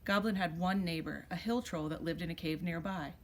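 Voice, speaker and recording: A woman's voice: lowish voice, brisk, a little hiss or hum. A woman teacher reading a children's storybook aloud expressively.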